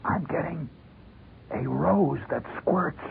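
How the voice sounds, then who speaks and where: A man voicing a secretive young schoolboy in a radio story, speaking in a hushed, dramatically halting way. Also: fairly high pitch, gravelly, pitched above the performer's own voice, full character voice. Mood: secretive, proud. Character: conspiratorial young schoolboy sharing secret gift plans. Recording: tinny, noisy old radio broadcast.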